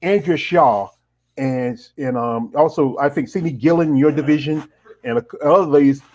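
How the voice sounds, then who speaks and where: A man's voice: a little high, normal speed, a little mellow. A middle-aged man hosting a casual live-stream interview and reading out viewer comments, rambling and leaning on "you know" fillers. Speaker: host.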